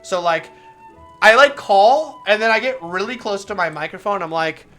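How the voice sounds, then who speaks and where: A woman streamer chatting casually while playing a visual novel, rambling and swearing, with a soft, relaxing voice. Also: mid-range voice, lively intonation, moderate pace, faint music behind. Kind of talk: casual livestream commentary over a visual novel.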